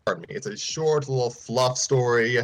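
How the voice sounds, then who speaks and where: A man's voice: medium pitch, normal speed, soft background music. A man hosting a casual livestream, rambling and correcting himself with chuckling asides. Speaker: host.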